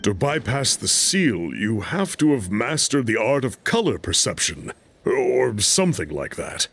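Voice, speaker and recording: An actor playing a young male guide in a video game, talkative and self-effacing, explaining things with a slightly husky voice. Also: medium pitch, very animated, measured pace, background noise. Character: young male guide, tradition-respecting, graffiti-loving, talkative. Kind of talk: video game dialogue acting.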